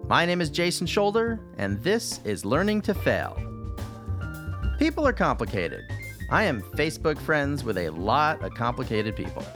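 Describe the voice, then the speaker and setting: Podcast host, man, fairly high and very lively voice, over clear music. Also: moderate pace. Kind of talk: scripted podcast intro. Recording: music bed behind the voice.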